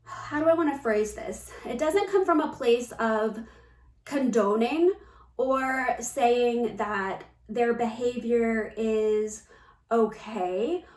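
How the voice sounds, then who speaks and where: A woman speaking off the cuff as a spiritual coach to viewers, in a measured, reflective manner with pauses. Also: on the high side, darkish tone. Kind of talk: unscripted spiritual talk to viewers. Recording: roomy echo, distant microphone.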